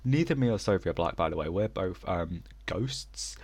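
A man's voice: low voice, lively intonation, moderate pace, darkish tone, husky. A man co-hosting a casual podcast, rambling with lots of fillers and giggles, still sniffly from a cold. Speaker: podcast co-host. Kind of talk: casual chat.

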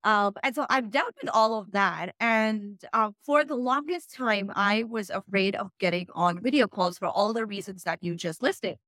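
Woman from pakistan, non-native english speaker, frank energetic guest, dry close sound. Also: mid-range voice, moderate pace, hardly any pauses, no echo. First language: not English. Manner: frank, energetic, emphatic advice. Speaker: guest, freelance writer. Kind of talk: casual interview talk.

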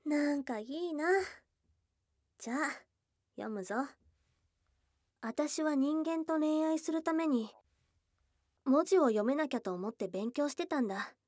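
A woman playing a high-voiced, bashful young goblin girl. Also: expressive, dry recording, clean studio sound. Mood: cozy, curious. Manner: hesitant, bashful, halting, with soft murmurs. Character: shy, tomboyish young goblin girl, smitten and flustered. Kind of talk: intimate ASMR situation voice drama. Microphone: close studio microphone, dry.

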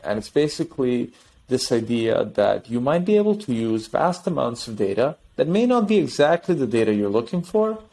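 A man talking as a guest on a podcast interview, explaining technology in an animated way with restarts and trailing thoughts. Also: medium pitch. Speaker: tech company guest.

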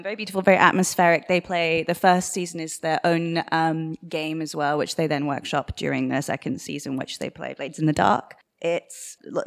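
A woman with a lowish voice speaks on a live panel. She gives recommendations in an informal, appreciative way, as a fellow guest. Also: creaky. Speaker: panel guest, fiction podcaster. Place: live event room with audience.

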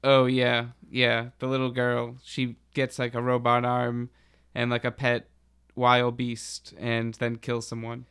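A man chatting casually as a podcast host, with a halting, slangy delivery. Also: mid-range voice, completely flat delivery, fairly bright tone, smooth. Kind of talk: casual chat about a TV show.

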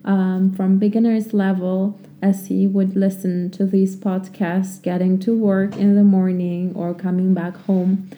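A young woman with a Turkish accent, hosting a casual podcast lesson and talking unscripted with many pauses and fillers. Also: mid-range voice, flat delivery, dark tone, muffled recording. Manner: unscripted, many ums and restarts. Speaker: language teacher, podcast host.

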